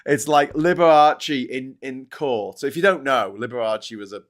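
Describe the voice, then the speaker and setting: A man's voice: mid-range voice, normal speed. A man from Birkenhead with a Merseyside accent, hosting a casual news chat podcast, chatty with frequent hesitant fillers. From Birkenhead, England. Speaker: podcast host.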